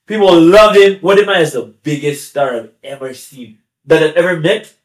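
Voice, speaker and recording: Higher-voiced man chatting in an echoey room. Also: expressive, moderate pace. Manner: chatty, laughs often, restarts and asides. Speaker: guest YouTuber. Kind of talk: casual podcast conversation.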